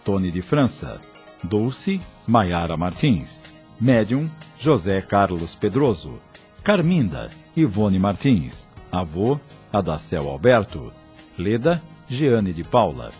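A man's voice: low-pitched, big pitch swings, takes it slowly, darkish tone, soft background music. A Brazilian man narrating a radio drama as announcer, in a formal, theatrical scripted delivery.

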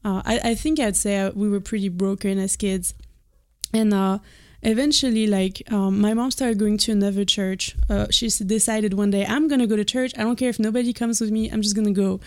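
Soft-spoken woman with a French accent. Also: medium pitch, fairly even intonation, brisk. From France. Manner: candid, soft-spoken, with many "uh" hesitations. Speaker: missionary guest. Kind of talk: personal testimony in an interview. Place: studio.

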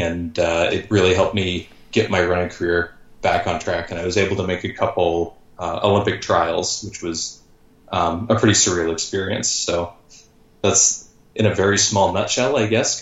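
A man in his thirties, a podcast guest, telling his story in a relaxed, rambling way with lots of fillers. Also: sits low, reverberant, recorded from a distance, lo-fi sound. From born in Canada, grew up in Georgia, USA. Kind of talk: casual storytelling in an interview.

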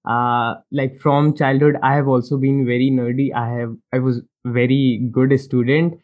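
Man, from India, interview host, casual and chatty, frequent laughs, mid-pitched voice. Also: level tone, moderate pace, narrow, tinny audio. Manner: friendly, chatty, laughs between phrases.

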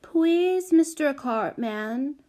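A woman's voice: high voice, expressive, very slow, mellow, pitched above the performer's own voice, exaggerated voice. A woman voicing a cute, bold little girl who coaxes with babyish grammar in a storybook. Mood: sweetly pleading. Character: cute wheedling little girl, bold, gap-toothed five-or-six-year-old.

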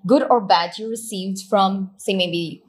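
Woman host, supportive interviewer, affirming often, ordinary pitch. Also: a little slow, darkish tone. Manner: encouraging, gives frequent short affirmations. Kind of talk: conversational interview.

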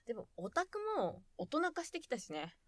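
A woman's voice: a little high, big pitch swings, normal speed, no room sound. A woman co-hosting a podcast, chatting casually with friends. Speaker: podcast co-host, amateur voice drama maker. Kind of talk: casual group chat among friends. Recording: other voices chattering around her.

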